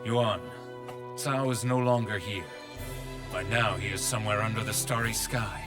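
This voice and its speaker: An actor playing a stern, loyal warrior general in a video game, speaking in a solemn, declamatory way. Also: male voice, lowish voice, steady tone, moderate pace, over loud music, close to an everyday voice. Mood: grave, reflective. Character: stern, loyal one-eyed warrior general, grave and resolute. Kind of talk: dramatic video game voice acting.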